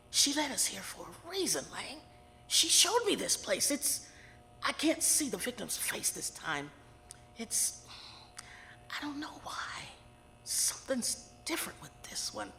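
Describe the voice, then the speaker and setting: An actress playing a grave, self-assured psychic who works with murder investigators in an audio horror drama. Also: female voice, medium pitch, sing-song, slow, gravelly, pushed and bright, in a whisper, exaggerated voice. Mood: urgent, pleading. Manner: grave, insistent, dry retorts. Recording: very reverberant, with noise behind.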